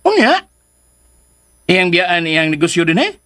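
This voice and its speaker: A man's voice: sits high, huge pitch swings, measured pace, bright, forceful tone, exaggerated voice. An actor playing a pompous older man who brags as a comic suitor in a radio drama, with a Cebuano Filipino accent. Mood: cocky. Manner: pompous, bragging, exaggerated. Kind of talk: Philippine radio drama acting.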